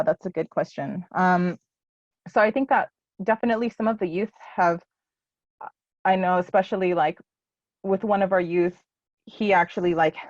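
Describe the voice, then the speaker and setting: Lowish-voiced woman on a video call, lots of ums, dry muffled sound. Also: darkish tone, no room sound. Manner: hesitant, many ums and restarts. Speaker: presenter answering questions. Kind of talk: casual presentation at a virtual symposium.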